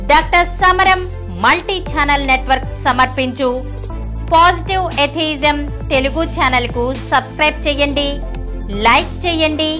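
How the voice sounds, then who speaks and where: A woman's voice: high-pitched, pushed and bright. A woman delivering a scripted promotional announcement as a channel announcer. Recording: faint music behind the voice. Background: faint music.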